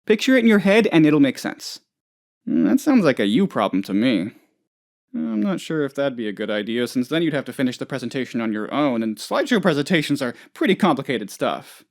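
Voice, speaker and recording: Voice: medium pitch, very animated, fairly quick. An actor playing a dim, anxious, childishly self-important office boss in a comedic video game, rambling hesitantly and turning whiny when upset. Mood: awkward, earnest. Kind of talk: comedic game dialogue, voice lines.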